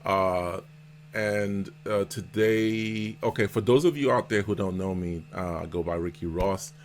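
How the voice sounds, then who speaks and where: A man hosting a casual vlog interview as a seller and mentor, explaining things in a halting, repetitive way. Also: deep voice. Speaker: host, Amazon seller and mentor. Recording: noticeable background noise.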